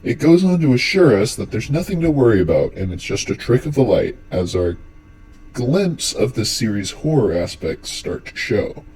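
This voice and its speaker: A man's voice: mid-range voice, very animated, moderate pace, darkish tone, breathy edge, rough recording. A man giving scripted commentary as the theatrical host and storyteller of a horror explainer. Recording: music under the voice. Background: music.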